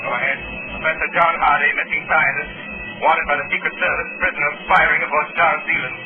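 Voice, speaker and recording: An actor playing an ominous, unseen crime fighter in a 1930s radio drama, deliberate and authoritative. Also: male voice, fairly high pitch, little pitch movement, over music, close to an everyday voice. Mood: resolute. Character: calm, ominous invisible male crime-fighting avenger. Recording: very noisy, tinny 1930s radio recording.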